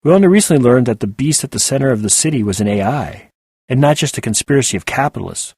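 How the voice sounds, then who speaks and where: A man voicing an earnest, excitable male rebel who cuts in. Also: mid-range voice, moderate pace, slightly rough, dry recording, studio quality, pitched above the performer's own voice. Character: enthusiastic male rebel with a regional accent.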